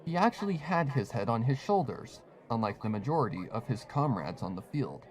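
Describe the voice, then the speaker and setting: A man's voice: medium pitch, big pitch swings, fairly quick, some chatter behind. A man reading a fan fiction story aloud as a dramatized scripted narration. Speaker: story reader.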